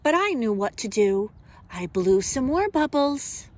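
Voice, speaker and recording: Woman, kindergarten teacher, slightly high pitch, very unhurried, expressive, simple wording for small children. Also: slight background noise. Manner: deliberate, expressive, speaks simply as to young children. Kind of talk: children's storybook read-aloud and simple instruction.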